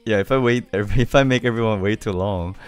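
Low-voiced young man chatting on stream. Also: fairly quick, smooth, soft background music. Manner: laid-back, laughs often, many fillers. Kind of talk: casual livestream chat reading comments. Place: small home room with keyboard. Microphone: studio mic on arm near face.

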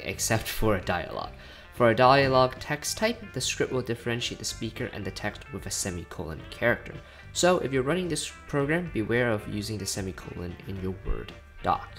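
A man giving a casual, plain-spoken scripted tutorial narration as a software developer explaining a tool. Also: medium pitch, expressive, brisk. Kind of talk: scripted explanatory narration over screen recording. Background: background music with guitar.

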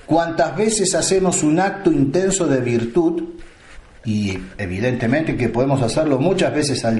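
A priest delivering a slow, solemn sermon with deliberate pauses. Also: male voice, on the high side, darkish tone, echoey room.

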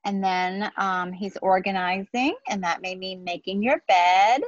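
A woman in her forties reading a picture book aloud to children, deliberate and explanatory, with casual asides. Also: mid-range voice, no room sound, lo-fi sound. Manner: deliberate, explanatory, speaking to young listeners. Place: outdoor patio beside trees.

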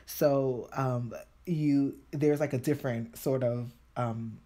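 Chatty new york lady, low voice, laughs a lot while painting on a phone live. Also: fairly even intonation, takes it slowly, dark tone. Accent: New York, Dominican Spanish-influenced. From New York City. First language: Spanish. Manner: chatty, laughs often, talks while working. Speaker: painting tutorial host. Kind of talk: live-stream painting chat. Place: indoors at a home painting desk.

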